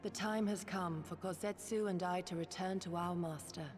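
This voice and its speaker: Woman acting a solemn, low-pitched warrior lady. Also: fairly even intonation, darkish tone, big-room sound, faint music behind, natural voice. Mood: solemn gratitude. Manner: formal, archaic, measured speech. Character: solemn, dignified warrior lady from a far eastern land. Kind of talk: fantasy video game voice acting.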